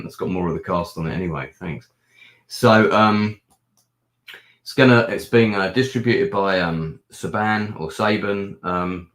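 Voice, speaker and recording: A man with a croaky, ill-sounding voice, chatting casually through a film review with many pauses and fillers. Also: low-pitched, fairly bright tone. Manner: rambling with many ums and restarts. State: hoarse, ill with a sore throat. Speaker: film reviewer and channel host. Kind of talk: casual live-stream review chat. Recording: echoey room.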